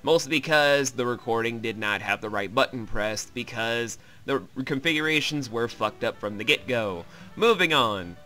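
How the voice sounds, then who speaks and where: A man's voice: mid-range voice, expressive, normal speed, few pauses, sharp tone, faint music behind. A man hosting a let's play, giving a casual, wry, rambling and sweary recap of a visual novel.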